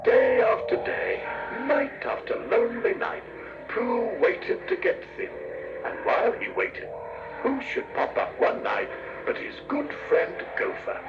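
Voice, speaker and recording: A man's voice: very high-pitched, expressive, unhurried, distant mic. A man narrating a scripted children's story as the storyteller. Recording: dull cassette, roomy, music behind.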